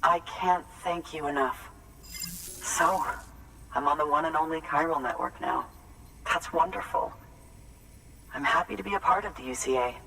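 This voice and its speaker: A cautious, easily moved recipient in a video game, whose speech stumbles when she is stirred. The tone is bright and forceful. The voice sits back from the mic over faint noise. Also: sits low, normal speed, gravelly, pauses often, distant mic, heavily acted, rough recording. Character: wary, then overjoyed package recipient. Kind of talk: video game cutscene acting.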